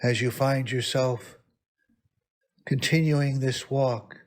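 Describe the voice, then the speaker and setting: A man speaks as a priest leading a guided prayer meditation. His delivery is extremely slow and reverent, broken into short phrases with long pauses. The pitch is ordinary for a man. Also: steady tone.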